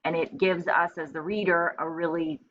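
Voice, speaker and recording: Low-voiced woman reading a storybook aloud. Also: fairly even intonation, moderate pace, a bit bright, lo-fi sound, a little hiss or hum. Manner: instructive, thinks aloud in simple terms. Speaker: teacher reading aloud to pupils. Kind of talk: storybook narration with explanatory asides.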